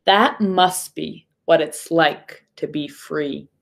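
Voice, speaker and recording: Female primary teacher for children's read-aloud, lowish voice, very slow, instructive delivery. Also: gravelly, a little hiss or hum. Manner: deliberate, instructive phrasing aimed at young pupils. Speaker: third grade teacher.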